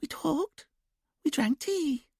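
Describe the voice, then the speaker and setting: A woman's voice: high voice, lively intonation, dry recording, clean studio sound, voice pushed up, exaggerated voice. A whispery, childlike entranced woman. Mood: dreamy trance. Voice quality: quiet sing-song, whispery. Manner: slow, drifting answers. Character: hypnotized young woman, childlike and dreamy.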